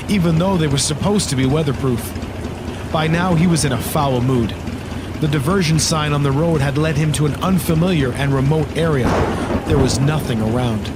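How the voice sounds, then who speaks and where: A man's voice: on the high side, heavy background noise. A man narrating a scripted horror audio drama, with storm and engine sound effects behind him. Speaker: story narrator. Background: loud storm and vehicle ambience.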